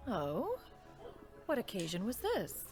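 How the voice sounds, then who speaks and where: A woman's voice: medium pitch, wildly swooping pitch, slow pace, darkish tone. A woman voicing an ancient, proud immortal lady in an anime game, speaking in formal, archaic, haughty diction. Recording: music behind.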